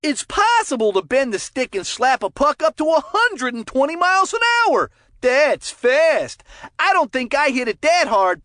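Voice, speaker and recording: Cartoon hockey color commentator, high-pitched, swooping intonation, folksy, chummy, excitable ex-player. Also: female voice, no room sound, studio quality, full character voice. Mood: folksy, amused. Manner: folksy, chatty, mild exclamations. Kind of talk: cartoon sports game commentary.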